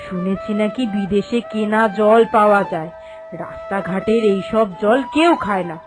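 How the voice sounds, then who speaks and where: A woman's voice: medium pitch, normal speed, music in the background, natural voice. A woman voicing a meddling, critical village neighbour in a cartoon, insistent and admonishing. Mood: nosy, knowing. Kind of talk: animated cartoon dialogue.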